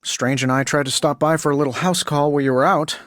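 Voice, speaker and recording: A man's voice: on the high side, steady tone, quick pace, fairly bright tone, no room sound, studio quality, natural voice. A male actor playing a cocky billionaire inventor superhero in powered armor for a video game, glib, sarcastic and self-assured. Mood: glib, teasing.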